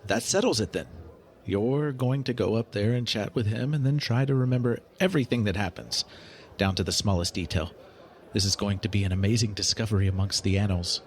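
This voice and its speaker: Man acting as an otherworldly researcher guy, lowish voice, formal, precise, detached but fascinated, audio drama. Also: brisk, natural voice. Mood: eager, scheming. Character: clinical, curious non-human researcher studying humans.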